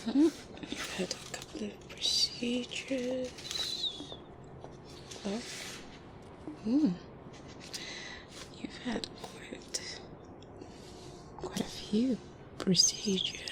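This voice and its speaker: A woman playing a softly sinister, polite specialist doctor in an ASMR roleplay, hesitant and insinuating, in a breathy whisper with murmured hums. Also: on the high side, very slow, pauses often. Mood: softly attentive.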